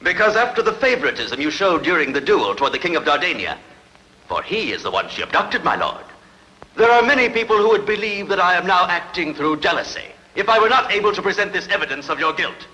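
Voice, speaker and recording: A man's voice: high voice, sing-song, fast talker, sharp tone, full character voice. A man dubbing an aggrieved, jealous ancient nobleman, speaking in a formal and pointed courtly manner. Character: aggrieved, jealous ancient nobleman accusing before his king. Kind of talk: dramatic film dubbing. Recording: long hall reverb.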